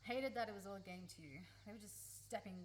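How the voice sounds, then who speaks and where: A woman's voice: medium pitch, quick pace, lightly acted. A woman acting in a radio play as a blunt, emotional young woman, hurt and in love with her best friend. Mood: hurt, sardonic. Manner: emotional, pleading, sweary, blunt. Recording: faint background noise, live recording.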